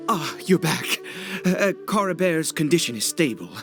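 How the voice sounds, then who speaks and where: An actor playing a desperate, obsessive father in a video game, speaking in a halting, stammering, nervous mutter. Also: fairly high pitch, lively intonation, gravelly, fairly bright tone. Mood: tense, instructing. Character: desperate, obsessive father brewing medicine for his son. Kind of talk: dramatic video game voice acting. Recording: dialogue over background music.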